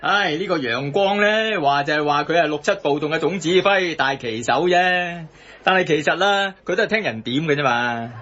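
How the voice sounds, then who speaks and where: A man's voice: on the high side, animated, normal speed, pushed and bright. An actor with a Hong Kong Cantonese accent playing a sardonic man explaining politics in a satirical radio drama. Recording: slightly dull radio broadcast.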